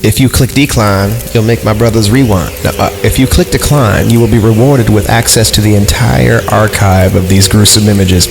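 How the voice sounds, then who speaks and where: Male horror podcast reader, lowish pitch, irreverent and sweary, ad-libs jokes between passages, music behind. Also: moderate pace, gravelly, hardly any pauses. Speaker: podcast host reading horror stories. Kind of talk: story reading with joking ad-libbed commentary.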